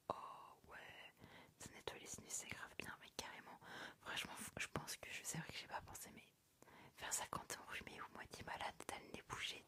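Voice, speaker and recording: A woman whispering as an ASMR streamer, chatting casually and answering viewer comments, rambling with many fillers. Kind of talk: whispered ASMR live chat.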